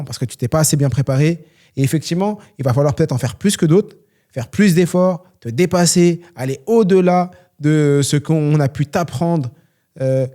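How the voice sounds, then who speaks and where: A man's voice: on the high side, warm tone. A young man from France, talking earnestly and motivationally on a solo question-and-answer podcast, with many fillers. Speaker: podcast host and trainer.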